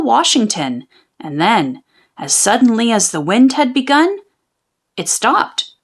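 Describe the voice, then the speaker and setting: A woman narrating a children's storybook in an expressive, folksy storytelling manner. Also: high-pitched, gravelly, husky. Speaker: children's book read-aloud narrator. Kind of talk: animated storybook narration of a folksy tall tale.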